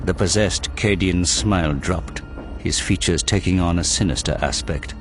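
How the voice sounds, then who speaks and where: A man's voice: sits low, normal speed, slight background noise. A man giving dramatic scripted narration for an audio drama, with music behind his voice.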